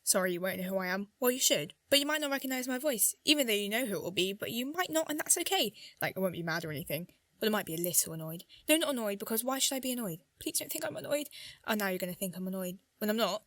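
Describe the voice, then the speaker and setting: A woman's voice: mid-range voice, lively intonation, fast talker, a bit bright, dry recording, close to an everyday voice. An actress playing an anxious, lovestruck young woman in a radio play, rambling and correcting herself. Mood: nervous, awkward. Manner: flustered, self-correcting, rambling. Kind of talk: naturalistic radio play monologue.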